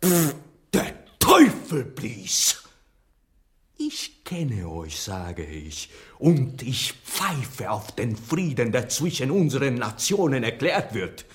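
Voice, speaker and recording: A ranting Spanish playwright, wildly swooping pitch. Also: male voice, huge pitch swings, slow pace. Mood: contemptuous. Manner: haughty, theatrical, ranting. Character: proud, scornful, hot-tempered Spanish playwright. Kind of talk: German radio drama acting.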